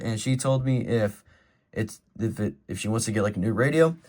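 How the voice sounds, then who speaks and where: A man giving a casual, rambling show-and-tell as a collector, in an informal and opinionated way. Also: medium pitch. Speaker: collector presenting a weather radio collection.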